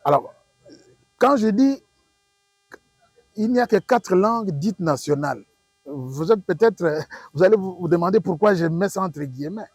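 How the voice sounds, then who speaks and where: Congolese male expert, husky, didactic and emphatic, dry interview sound. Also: sits high, animated, normal speed, long pauses, no room sound. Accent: Congolese French. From Democratic Republic of the Congo. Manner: didactic, emphatic, rhetorical questions and repetition. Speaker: professor, linguistics expert. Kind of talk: interview, explaining to an audience.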